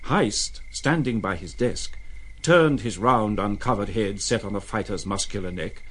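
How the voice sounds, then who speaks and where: A man's voice: fairly low pitch, measured pace, a bit bright, breathy edge. A man narrating a literary story in the manner of a dramatised audiobook.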